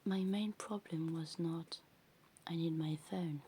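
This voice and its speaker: A woman with English as a second language, whispering softly in a rambling ASMR show-and-tell full of fillers. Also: sits low, steady tone, takes it slowly, a little hiss or hum. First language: not English. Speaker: ASMR creator.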